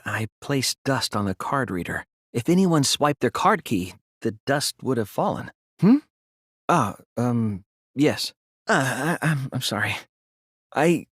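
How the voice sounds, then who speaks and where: Husky, earnest teen boy detective. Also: medium pitch, no echo, clean studio sound. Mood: hesitant, apologetic. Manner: earnest, careful explaining, hesitant. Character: earnest, soft-spoken, hesitant teenage boy detective. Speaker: video game voice actor. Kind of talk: dubbed detective game dialogue.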